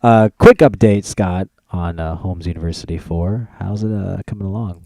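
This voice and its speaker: A man's voice: low-pitched, normal speed, vocal fry, dark tone, clean studio sound. A man hosting a podcast in casual, informal banter, as if chatting with a buddy. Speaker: podcast host. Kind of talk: casual chat. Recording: roomy echo, faint music.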